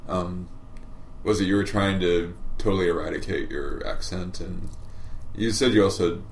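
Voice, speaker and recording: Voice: sits low, fairly even intonation, normal speed. An interviewer asking loose questions, full of "like", and giving short backchannel replies. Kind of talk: conversational interview. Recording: echoey room, faint noise.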